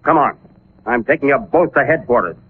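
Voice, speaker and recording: An actor playing a stern, weary veteran police sergeant in a 1940s radio drama, gruff and authoritative. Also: male voice, medium pitch, expressive, a little fast, loud music behind the voice, natural voice. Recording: muffled, noisy 1940s radio recording.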